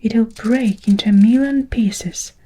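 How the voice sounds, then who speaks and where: Woman whispering a jittery old grandpa, breathy, storybook read. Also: fairly high pitch, slow pace, mellow, rough recording. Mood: alarmed. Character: excited, fearful old grandfather.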